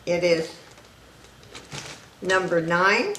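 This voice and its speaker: Loud, slow-talking woman in echoey room. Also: fairly low pitch, animated, a little hiss or hum. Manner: loud, slow and deliberate, item by item. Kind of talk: casual live-stream selling.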